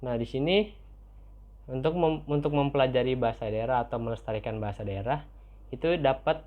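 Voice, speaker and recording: The audio is narrow and muffled, like a phone line. A young man with an Indonesian accent speaks at a middling pitch. He presents like a student cadet before a lecturer, semi-formal and halting, doubling back to repeat his phrases. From Tangerang, Indonesia. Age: young adult.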